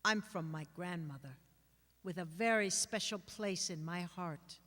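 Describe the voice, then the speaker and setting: Very slow woman reciting in echoing hall. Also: on the low side, fairly bright tone. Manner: measured, list-like phrasing with pauses. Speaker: actor or reader of others' writing. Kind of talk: dramatic reading of a personal poem. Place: reverberant hall, at a microphone. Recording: long hall reverb.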